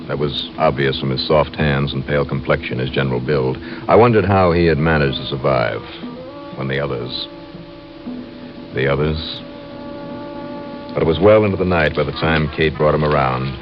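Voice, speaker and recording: An actor playing a tough, steady investigator who narrates his case in 1950s radio drama style. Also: very deep voice, moderate pace, dull audio, music in the background. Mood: grave. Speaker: narrator and lead. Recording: band-limited old radio recording.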